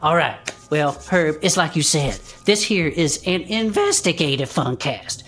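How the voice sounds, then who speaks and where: Woman playing a folksy, animated Southern adventurer. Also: low-pitched, measured pace, exaggerated voice. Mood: upbeat. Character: folksy former adventurer hosting a cryptid-hunting show, excitable. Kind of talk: chatty in-character audio drama banter.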